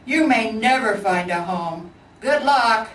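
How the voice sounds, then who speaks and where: Woman as a big gruff-mannered boy bear, storybook, weary, dismissive, roomy sound. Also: a little high, lively intonation, takes it slowly. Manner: blunt, grumbling. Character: big grumbling older male teddy bear, weary and dismissive. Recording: echoey room, distant mic, faint noise.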